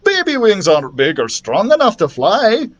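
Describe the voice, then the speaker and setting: Man as a young storybook dragon, raised pitch, earnest, childlike, good-natured, lively. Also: moderate pace, muffled recording, heavily acted. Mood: apologetic, sheepish. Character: gassy, good-natured young male dragon, eager to help.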